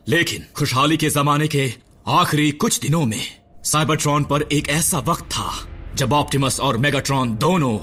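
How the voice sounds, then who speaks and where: A man voicing a grizzled old male robot medic in a dubbed cartoon, recounting history in a measured, lecturing, somber way. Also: on the high side, natural voice. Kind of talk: Hindi cartoon dub. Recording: studio dub with loud score.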